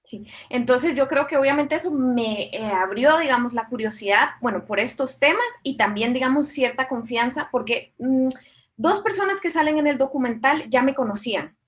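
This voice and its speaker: A woman's voice: high-pitched, lively intonation, normal speed. A woman from Mexico and Costa Rica answering interview questions conversationally as a documentary director, reflective and often adding little tag questions.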